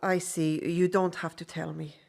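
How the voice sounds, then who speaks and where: An actress in a radio drama playing a gentle, devoted circus performer. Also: female voice, on the low side, level tone, a little slow, vocal fry. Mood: tender.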